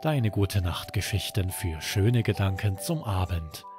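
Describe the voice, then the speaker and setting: A man's voice: deep voice, expressive, unhurried, music in the background. A man narrating a scripted bedtime story for children as the host.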